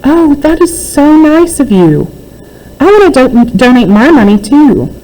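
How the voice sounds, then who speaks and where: Storybook schoolgirl, played by a woman: friendly, eager, generous, exclaiming, voice pitched up. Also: big pitch swings, a little slow, mellow, rough recording.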